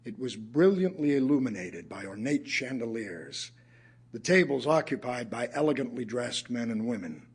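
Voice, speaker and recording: An actor playing a weary, wry male writer, reciting poems and prose as a measured, literary storyteller in an audio play. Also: mid-range voice, sing-song, husky. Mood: measured storytelling.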